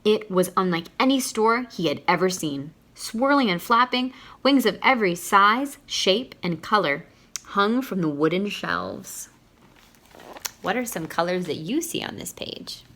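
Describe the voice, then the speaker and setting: A woman's voice: mid-range voice, sing-song, measured pace, fairly bright tone, slight background noise. A woman reading a children's picture book aloud expressively, stopping to put questions to young listeners.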